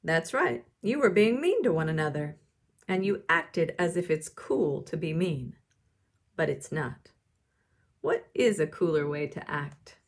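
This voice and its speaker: A woman's voice: low voice, very animated, a little slow, pitched below the performer's own voice, full character voice. A woman reading the part of a firm, encouraging female schoolteacher in a storybook, emphatic and instructive.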